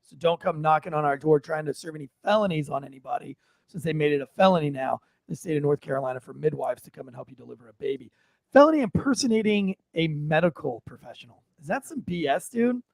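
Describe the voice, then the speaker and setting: Gravelly, high-pitched American man hosting a show. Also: quick pace, no room sound. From United States. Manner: rambling, joking, profane. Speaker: podcast host. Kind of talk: casual livestream talk show chat.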